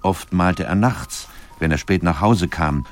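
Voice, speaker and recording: A man reading scripted documentary narration in a measured, articulate storytelling manner. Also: deep voice, soft background music.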